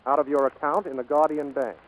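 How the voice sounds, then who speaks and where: An actor playing an earnest, steady American aircraft builder in a 1940s radio drama, heard on a vintage broadcast recording. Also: male voice, a little high, fast talker, phone-quality sound, lightly acted. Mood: tender, earnest.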